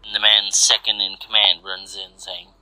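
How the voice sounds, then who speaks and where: A man's voice: on the low side, flat delivery, normal speed, bright, forceful tone, no room sound, rough recording. A man reading scripted action fiction aloud as a storyteller. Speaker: story narrator. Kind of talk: scripted narration of fan fiction.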